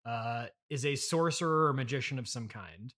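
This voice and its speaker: A man hosting a comedic interview, halting and apologetic, talking in a bemused, conversational way. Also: mid-range voice, fairly bright tone. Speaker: podcast interviewer.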